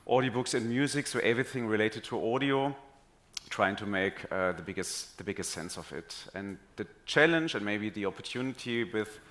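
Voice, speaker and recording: A man with a lowish voice introducing himself on an industry panel. He speaks in a measured, formal way, pausing on fillers as he explains his audio business. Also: expressive, fairly bright tone. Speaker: panelist, digital audio executive. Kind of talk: conference panel talk.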